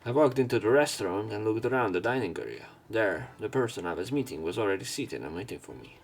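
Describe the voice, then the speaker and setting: A low-pitched man narrates a visual novel in a let's play. He reads in a halting way and breaks off for asides, with game music playing clearly behind him. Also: lively intonation. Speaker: let's player reading a visual novel aloud. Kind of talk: narration and commentary over a game, reading aloud with asides.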